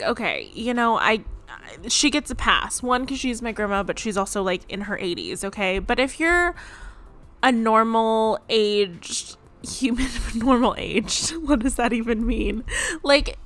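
A woman hosting her own podcast, rambling casually with lots of "like" and quick laughs, her voice a little strained. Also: medium pitch, fairly bright tone. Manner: rambling, chatty, frequent "like" and laughs. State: slightly strained voice. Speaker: podcast host. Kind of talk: casual solo podcast chat. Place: home, seated.

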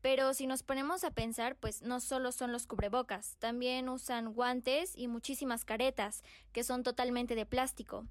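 A woman's voice: fairly high pitch, steady tone, normal speed, hardly any pauses, dry recording, studio quality. A teenage young woman with a Mexican accent, giving her perspective conversationally as a podcast co-host. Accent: Mexican Spanish. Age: teens, secondary school student. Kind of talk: conversational opinion discussion.

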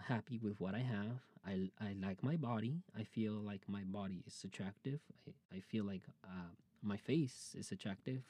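A man's voice: on the low side, mellow, no echo. A man in his late twenties hosting his own podcast, talking casually and openly about his life, rambling with many fillers and restarts. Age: 20s. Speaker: podcast host. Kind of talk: casual personal monologue.

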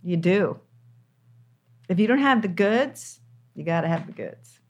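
Emphatic older woman, low-voiced. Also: lively intonation, unhurried, darkish tone. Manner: emphatic, repeats words for stress. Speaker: screenwriter guest. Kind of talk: candid interview talk.

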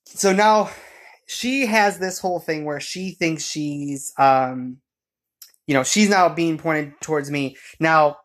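A man telling a personal story in casual conversation, in a rambling, halting way, often correcting himself as he goes. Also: on the high side, fairly bright tone.

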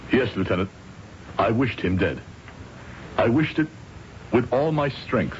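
A troubled, earnest man played by a male actor in an old radio drama. His mid-pitched voice halts, then presses on solemnly. The recording is dull and lo-fi, with faint noise behind it. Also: lively intonation, natural voice. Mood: solemn, insistent. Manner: halting, solemn, insistent.

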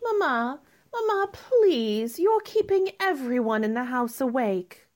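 A woman playing a spirited, outspoken young gentlewoman in a radio drama, with a pointed, forthright manner. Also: high voice, big pitch swings, slow, exaggerated voice. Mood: pleading, reasonable.

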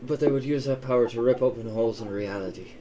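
A gruff, wry old elven dad played by a man in a tabletop roleplay, doting and foul-mouthed. He speaks at a middling pitch over clear background noise. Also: fast, natural voice. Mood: grave warning. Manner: wry, teasing, swearing. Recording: clearly noisy, ambient sound behind.

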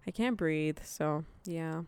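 A young woman co-hosting a casual chat podcast, her nose stuffy from allergies, chatty and quick to react. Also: deep voice, a little mellow, clean tone. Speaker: podcast co-host.

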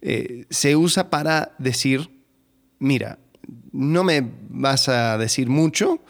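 Wry, halting male co-host. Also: medium pitch, expressive, gravelly. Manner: hesitant, many fillers and restarts, wry humor. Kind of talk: casual conversational discussion.

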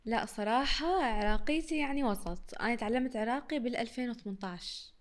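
A woman's voice: on the high side, fast. A young woman from Iraq with a mixed Syrian and Iraqi Arabic accent, chatting as a podcast guest and laughing often. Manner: laughs often, mixes dialects. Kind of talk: casual interview chat.

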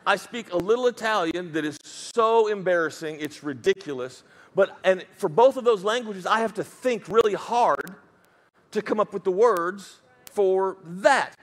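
A man's voice: sits high, animated, unhurried. A man with a Southern US accent preaching a sermon as pastor, emphatic and dropping joking asides.

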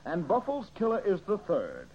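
An actor playing a brisk young amateur detective and host in an old-time radio mystery, methodical and politely exasperated. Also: male voice, high-pitched, huge pitch swings. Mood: accusing. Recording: dull, hissy old radio recording.

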